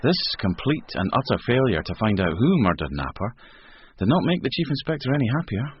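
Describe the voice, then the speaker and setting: An actor playing a police sergeant who narrates a radio drama with dry, wry formality. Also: male voice, mid-range voice, very animated, fairly quick, natural voice. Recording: dull radio recording, faint noise.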